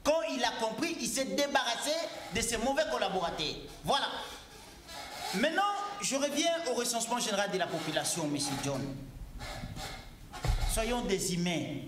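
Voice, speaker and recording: High-pitched insistent man. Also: very animated, normal speed, roomy sound. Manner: insistent, interrupts and repeats points. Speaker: debate guest. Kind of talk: heated political debate.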